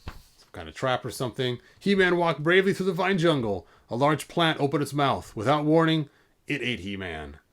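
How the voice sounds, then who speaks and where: A man's voice: fairly high pitch, sing-song, moderate pace, fairly bright tone. A man hosting a casual livestream storybook read-aloud, informal, stumbling over words and breaking off for asides. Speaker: host reading a storybook aloud to online viewers.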